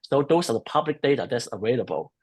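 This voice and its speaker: A man talks through a webinar as a guest, his voice a touch husky and mid-pitched. He pauses often with ums and restarts his sentences as he works out his points over a video call. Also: lively intonation. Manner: hesitant, many ums and restarts. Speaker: policy research guest. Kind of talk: webinar discussion.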